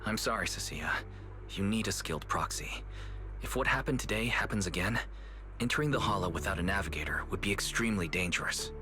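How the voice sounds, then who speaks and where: Composed young male guide for a game: lowish pitch, gentle, measured, kind. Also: expressive. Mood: earnest, apologetic. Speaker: video game voice actor. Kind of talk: animated game cutscene dialogue. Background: background music.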